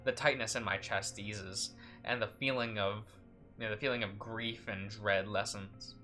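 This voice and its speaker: A young man with a bright, forceful voice hosts a game playthrough. He chats and laughs easily and trips over words as he reads the story aloud. Soft music plays under him. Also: lowish voice, fairly even intonation, moderate pace. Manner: laughing, chatty, stumbles while reading. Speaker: let's play host. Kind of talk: casual let's play chat and reading aloud.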